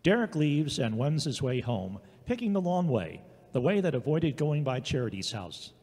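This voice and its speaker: A man's voice: medium pitch, very animated, moderate pace, darkish tone, breathy edge. A man giving scripted narration for an audio drama. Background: guitar music.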